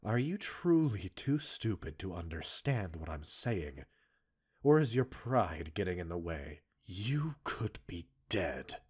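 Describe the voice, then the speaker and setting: A male actor voices a stern, cold commander in a scripted ASMR roleplay. His deep, raspy voice reprimands a subordinate in formal, measured phrases, swinging widely between low restraint and forceful emphasis. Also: big pitch swings, exaggerated voice. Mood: angry, wounded concern.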